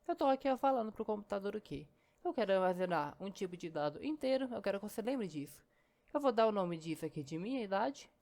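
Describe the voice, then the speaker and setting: Mulher mineira explicando código bem rápido. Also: female voice, fairly high pitch, darkish tone. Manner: chatty, explains step by step, keeps saying "ó" and "né". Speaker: programming teacher. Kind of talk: casual screen-recorded coding lesson.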